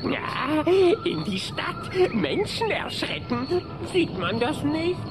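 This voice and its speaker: A woman's voice: high-pitched, very animated, takes it slowly, noisy background, heavily acted. A woman playing a cocky, mischievous young male vampire in a German children's radio play, with an exaggerated, sneering drawl. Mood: gleeful swagger.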